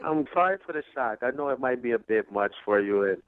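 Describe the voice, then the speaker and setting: A man's voice: medium pitch, animated, fairly quick, no room sound. A self-assured, brash man calling in by telephone, talking casually and persuasively. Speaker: phone-in guest on a dating segment. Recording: tinny, noisy phone line.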